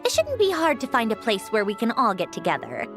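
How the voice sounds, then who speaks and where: A proud, huffy young woman with a high voice that swoops dramatically. She sounds haughty and easily exasperated, with fondness underneath, in a video game scene with music playing behind her. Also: wildly swooping pitch, brisk. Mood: grudgingly fond. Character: proud, testy young woman, exasperated but secretly fond.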